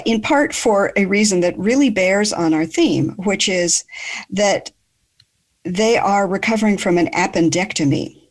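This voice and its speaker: A woman in her sixties hosting a podcast and moderating a group discussion in a measured, articulate way. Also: medium pitch. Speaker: podcast host.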